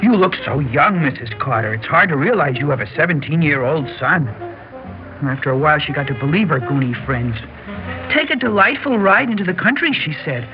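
A man's voice: a little high, expressive, fairly quick, close to an everyday voice. A man playing a resentful seventeen-year-old rich boy, earnest, insistent and aggrieved, in a 1960s radio drama. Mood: bitter, hurt. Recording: dull old radio recording with music.

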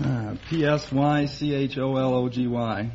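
A man's voice: medium pitch, fairly even intonation, measured pace, a little mellow. Hesitant man on old radio. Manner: hesitant, understated. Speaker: quiz contestant, doctor. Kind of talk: unscripted interview answers. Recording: vintage radio, dull, faint noise.

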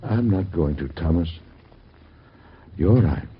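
An actor playing a devout, grieving father and president, husky and gravelly, in a solemn, measured way of talking, from an old radio drama. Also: deep voice, expressive, lo-fi sound, heavily acted. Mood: gentle. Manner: solemn, measured, gently reassuring.

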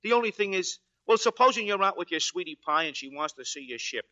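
A wisecracking, grumbling American sailor in a vintage radio play. The voice is very bright and a bit high. The recording sounds dull and old. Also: male voice, sing-song, quick pace, exaggerated voice. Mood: griping. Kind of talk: 1940s radio drama acting.

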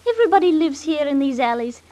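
A woman's voice: high voice, sing-song, quick pace, warm tone. A woman playing an emotional, girlish poor young woman of Victorian London in an old-time radio drama. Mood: coy, uneasy.

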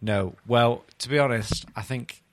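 A man's voice: medium pitch, a little slow, a little hiss or hum. A man hosting a podcast in casual comic banter, teasing his co-hosts with mock bafflement. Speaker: podcast host.

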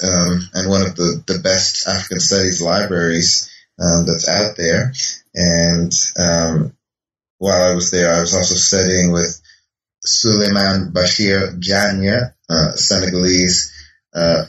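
A man's voice: sits low, fairly bright tone. A middle-aged man from Canada, a philosophy professor answering interview questions in a hesitant way, with many fillers and restarts. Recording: echoey, distant from the mic.

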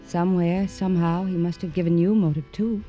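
Actress playing a moody radio noir femme fatale. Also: female voice, on the low side, fairly even intonation, fairly quick, dark tone, dull audio, soft background music, close to an everyday voice. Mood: probing, seductive. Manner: intimate, fragmented, questioning. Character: moody, seductive femme fatale, lonely and probing. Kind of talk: 1950s radio noir acting.

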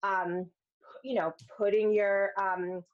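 Middle-aged woman, candid podcast guest, personal storytelling, medium pitch, acts out quotes, slightly dull lo-fi recording. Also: little pitch movement, slow. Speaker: podcast guest, filmmaker and speaker. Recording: dull, lo-fi sound.

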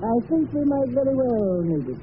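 A woman's voice: high-pitched, sing-song, moderate pace, narrow, tinny audio. An actress playing a scheming older woman, a retired stage star turned killer, with a theatrical manner in an old radio drama. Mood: cold menace. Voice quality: theatrical, shifting from cold menace to feigned confusion. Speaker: villain. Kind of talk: 1940s radio acting. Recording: thin, noisy old radio broadcast.

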